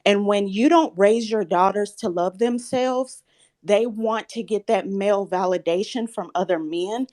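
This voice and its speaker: A woman's voice: mid-range voice, a little slow. A woman in her thirties from the Southern United States, calling into a live talk room and speaking earnestly with a slightly shaky voice. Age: 30s. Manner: soft-spoken, earnest, grows firm and insistent when challenged. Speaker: caller. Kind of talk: live audio chat room.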